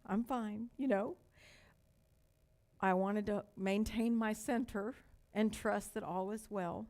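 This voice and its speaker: Older woman pastor with a light Texas accent. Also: mid-range voice. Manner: slow, emphatic repetition with warm storytelling asides. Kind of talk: sermon.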